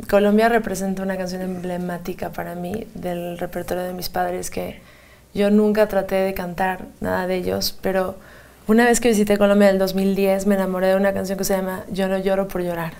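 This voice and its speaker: A woman's voice: medium pitch, level tone, moderate pace, vocal fry, darkish tone, a little hiss or hum. A young woman singer with a Mexican Spanish accent, answering interview questions in a chatty, easy way, holding a handheld mic in a TV studio. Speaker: singer guest. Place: TV studio, remote split screen.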